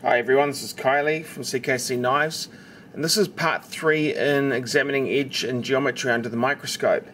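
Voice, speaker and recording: A man's voice: mid-range voice, moderate pace. Man narrating a tutorial over noise. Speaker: knife maker presenting a tutorial. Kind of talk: casual instructional narration. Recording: steady background noise, homemade recording. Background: noticeable background noise.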